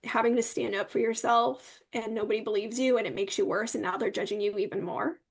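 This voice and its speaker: A woman's voice: mid-range voice, steady tone, fairly quick, gravelly, husky. A young adult woman speaking candidly and conversationally as an interview guest.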